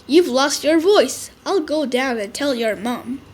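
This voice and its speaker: A woman voicing a loud, cheerful woman window cleaner calling through the glass, in a storybook. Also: high-pitched, expressive, unhurried, slight background noise. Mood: calling loudly. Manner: calling out loudly.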